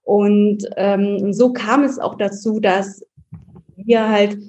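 Mellow-voiced woman guest, hesitant. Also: medium pitch, fairly even intonation, takes it slowly, slight background noise. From Germany, Palatinate. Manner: hesitant, many fillers. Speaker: guest, baby-feeding advisor. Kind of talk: casual interview chat.

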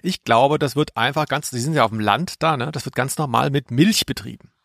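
A man with a gravelly, medium-pitched voice co-hosts a casual podcast. He explains things with joking mock expertise, recorded close and dry. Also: fairly quick, fairly bright tone, no room sound. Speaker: podcast co-host. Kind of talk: humorous casual chat.

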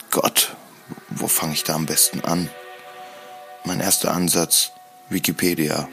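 A man narrating a scripted first-person audio drama in a solemn, deliberate storytelling manner. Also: low voice, a bit bright. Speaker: podcast host.

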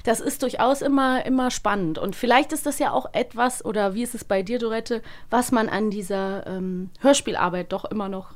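A woman's voice: a little high, moderate pace, few pauses. A woman hosting a podcast, interviewing in a casual, conversational way. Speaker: podcast host. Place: podcast studio.

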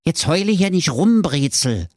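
Guy playing a cocky berlin teen boy, know-it-all, raised pitch, very bouncy, storybook style. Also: very animated, normal speed, darkish tone, dry recording, studio quality. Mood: dismissive. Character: cocky, dismissive chubby teenage brother.

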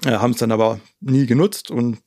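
A man's voice: medium pitch, fairly quick, dark tone, no room sound, clean studio sound. A man from Germany explaining things in detail as an interview guest, often checking in with a short tag question. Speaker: conservation organisation co-founder, interview guest.

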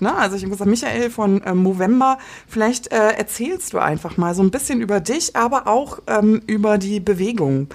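A woman co-hosting a podcast, talking in a friendly, hesitant way with many fillers. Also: medium pitch. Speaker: podcast co-host. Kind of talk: casual podcast interview.

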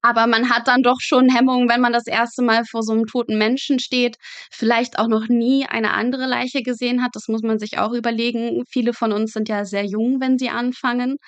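A woman's voice: fairly high pitch, little pitch movement, fairly quick, no echo, muffled recording. A woman in her twenties, a medical student being interviewed, telling vivid stories in a frank, matter-of-fact conversational way. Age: 20s.

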